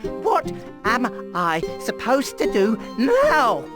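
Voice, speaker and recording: An actor playing an anxious, flustered, whining medieval duke in a game cutscene, fretful and prone to stammering. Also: male voice, extremely high pitch, wildly swooping pitch, extremely slow, music in the background, exaggerated voice.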